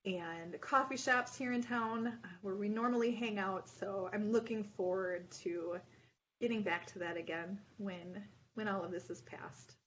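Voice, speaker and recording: Chatty yarn shop owner on a muffled call. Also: female voice, medium pitch, unhurried, a little hiss or hum. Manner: chatty, upbeat, laughs often. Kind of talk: casual video-call chat. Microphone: video call.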